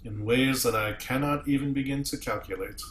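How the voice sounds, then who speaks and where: A man reading the part of a commanding starship captain in a table read, arguing deliberately and rhetorically as a defence advocate. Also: mid-range voice, fairly bright tone, lightly acted. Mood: grave, thoughtful. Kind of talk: amateur script table read. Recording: roomy echo with clear background noise.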